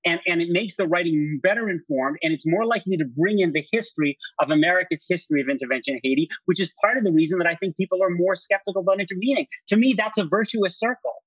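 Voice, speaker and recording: A middle-aged man with a fairly high, husky voice argues politics as an interview guest. He is insistent and halting, doubling back on phrases to press his point. The sound is close and dry. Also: fairly bright tone, no room sound, low-quality recording. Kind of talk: heated political debate.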